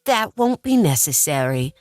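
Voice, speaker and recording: A woman playing a waitress who serves uncanny meals in an audiobook, with a deliberate, theatrical delivery. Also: sits low, sing-song, darkish tone, no room sound. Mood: calm, ceremonious. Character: unhurried waitress serving uncanny supernatural meals. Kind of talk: audiobook character acting.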